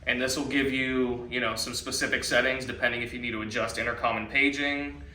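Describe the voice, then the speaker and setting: A man with a bright, forward voice walks through equipment training step by step. He explains things methodically and informally. He is heard in an echoey room from some distance off the microphone. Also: mid-range voice, flat delivery, fairly quick. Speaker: trainer demonstrating classroom audio and intercom equipment. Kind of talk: hands-on training walkthrough. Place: indoors in a school room. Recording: roomy echo, distant mic, faint noise.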